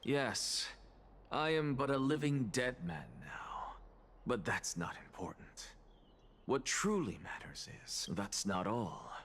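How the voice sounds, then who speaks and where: A man's voice: mid-range voice, lively intonation, slow, fairly bright tone, heavily acted. A man playing a proud, condescending undead scholar in a story game, lecturing with precise, haughty delivery. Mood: coolly lecturing. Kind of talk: dramatic game voice acting.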